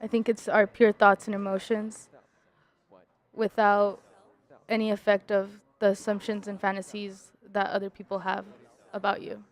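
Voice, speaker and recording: A woman speaks in a medium-pitched voice with little variation. She offers reflective statements in a considered way. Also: monotone, normal speed.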